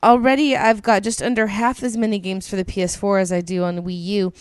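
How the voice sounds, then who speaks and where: A woman's voice: mid-range voice, little pitch movement, fairly quick, clean tone, no room sound. A woman co-hosting a podcast, reading listener emails aloud in a casual, gushing way that is peppered with swearing and ums. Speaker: podcast co-host.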